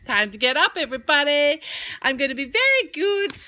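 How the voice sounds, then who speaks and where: A beaming storybook sun, voiced by a woman, proud and cheerful. It calls out loudly in a raised, lively pitch. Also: fairly quick, full character voice. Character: beaming, booming male sun promising to shine.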